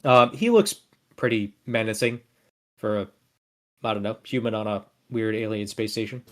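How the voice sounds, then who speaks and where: A man's voice: lowish voice, normal speed, fairly bright tone. A man narrating a tabletop game as game master in a casual, improvised, playful way.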